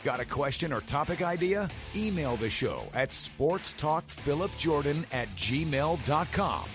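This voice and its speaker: A man's voice: on the high side, lively intonation, unhurried. Upbeat male announcer over music. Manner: polished, upbeat announcer read. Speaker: show announcer. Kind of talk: scripted podcast outro.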